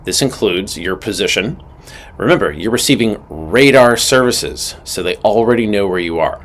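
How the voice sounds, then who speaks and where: Male instructor, lower register, lively intonation, scripted and methodical teaching read with clear emphasis. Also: normal speed. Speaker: aviation instructor. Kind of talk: scripted instructional narration with acted radio call examples.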